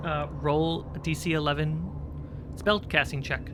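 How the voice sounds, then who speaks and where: A man acting as game master, improvising narration for a tabletop game in short, halting phrases. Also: a little high, steady tone, noisy background. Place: indoor livestream.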